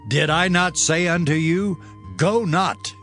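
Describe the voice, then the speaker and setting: An actor playing an earnest, fervent male prophet in a dramatized scripture, declaiming in a drawn-out way. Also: fairly high pitch, a bit bright, faint music behind. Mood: stern.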